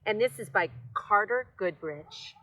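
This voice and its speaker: An older woman reads a children's story aloud, gently pausing to talk to her young listeners. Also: mid-range voice, animated. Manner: gentle, unhurried, addressing children.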